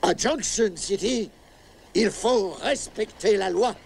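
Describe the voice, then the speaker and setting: Un marshal fanfaron. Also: male voice, sits high, takes it slowly, vocal fry, slight background noise, full character voice. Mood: smug. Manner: folksy, boastful. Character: self-satisfied small-town marshal, gruff and proud. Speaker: town marshal. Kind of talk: French film dub.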